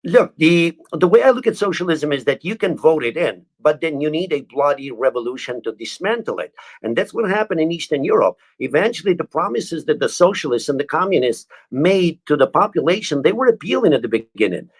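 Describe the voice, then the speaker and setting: A man's voice: a little high, very animated, a little fast, breathy edge. A middle-aged man from Albania with an Albanian accent, speaking earnestly as an interview guest and often restarting words. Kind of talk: interview answers.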